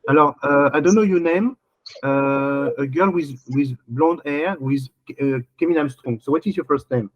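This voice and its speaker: A man's voice: on the high side, normal speed, no room sound, highs cut off. A man, hosting a webinar and coaching applicants with rambling, repeated questions over a video call. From France. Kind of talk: casual webinar Q&A.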